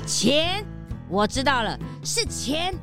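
Young assistant, high voice, very animated, cheeky, inquisitive, children's drama, music bed. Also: female voice, slow, exaggerated voice. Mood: excited, accusing. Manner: inquisitive, excitable.

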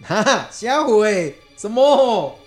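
A woman's voice: on the high side, drawn-out words, soft background music, full character voice. A woman with a Taiwanese accent playing a flustered young male guesthouse owner in a comedic audio drama. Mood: groggy, startled. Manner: exasperated, excitable.

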